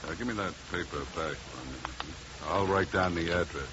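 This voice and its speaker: An actor playing a gruff, cynical American oil wildcatter in a 1950s radio drama, talking in a folksy, tough, sardonic way. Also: male voice, low-pitched, fairly quick, lightly acted. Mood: casual, hard. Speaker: supporting actor. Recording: muffled, noisy 1950s radio recording.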